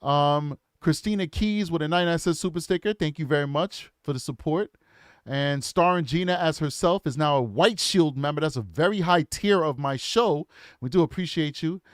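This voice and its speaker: A man hosting a true crime livestream, reading super chats aloud and thanking viewers in an upbeat, repetitive way with lots of ums. His voice is hoarse and worn out. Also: on the high side, no echo, clean studio sound. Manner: upbeat, repeats himself, frequent ums.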